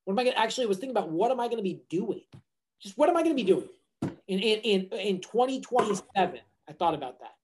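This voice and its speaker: A man's voice: high-pitched, sing-song, quick pace, darkish tone, a little hiss or hum. A man co-hosting a podcast in casual chat, rambling and restarting his sentences as he thinks aloud. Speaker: podcast co-host.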